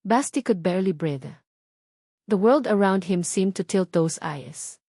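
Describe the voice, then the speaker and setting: A woman's voice: medium pitch, animated, measured pace, dark tone, husky, dry recording. Female storyteller, Filipino accent, mid-range voice, emotive scripted drama narration, suspenseful phrasing, very dry recording.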